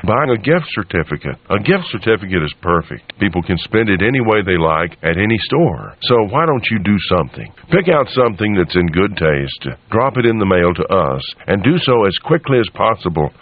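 Deep-ish radio guy doing a chatty station promo, talks right to you, phone-like audio. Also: sing-song, brisk, few pauses. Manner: conversational, direct address to listeners. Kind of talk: folksy radio promo and appeal. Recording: narrow, phone-like radio sound.

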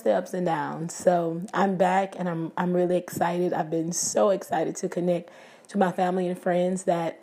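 A woman with a raspy voice hosting a casual devotional podcast, talking earnestly and prayerfully to her listeners. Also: fairly low pitch, dark tone. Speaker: podcast host. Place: living abroad, indoors.

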